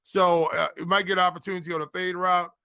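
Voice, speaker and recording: A man's voice: sits high, flat delivery, brisk, bright, forceful tone, smooth, dry recording, clean studio sound. A man co-hosting a casual sports chat, giving picks in informal, slangy talk and repeating phrases for emphasis. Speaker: fantasy football podcast co-host.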